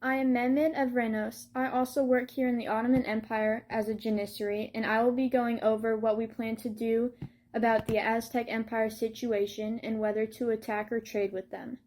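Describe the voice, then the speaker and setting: Young woman, fairly high voice, scripted informative recital, student presenter in role. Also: flat delivery, normal speed, darkish tone. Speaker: student presenter playing a Janissary.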